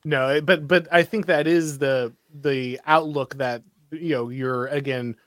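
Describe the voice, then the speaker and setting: A man's voice: medium pitch, fairly even intonation, unhurried, fairly bright tone, dry recording. Man, American accent, host, sarcastic asides, dry recording. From United States. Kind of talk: casual political discussion.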